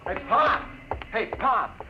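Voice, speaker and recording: A man's voice: sits high, animated, takes it slowly. An actor playing an eager male newspaper reporter with colloquial, slangy patter in 1950s radio drama style. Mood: eager, surprised. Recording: muffled vintage radio recording, faint noise.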